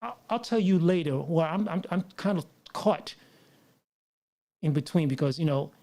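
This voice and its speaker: A man's voice: a little high, animated, moderate pace, warm tone. A man hosting a casual live stream, rambling with frequent restarts and asides to viewers. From United States. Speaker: livestream host. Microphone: mixer setup with line noise.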